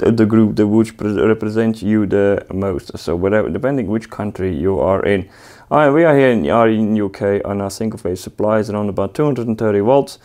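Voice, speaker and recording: Man, lowish voice, hesitant hands-on explainer recorded in a workshop. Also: dark tone. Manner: halting, many fillers and restarts, plain explanatory tone. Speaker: technician demonstrating a drive. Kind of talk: hands-on tutorial. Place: workshop with wooden wall panel, indoors.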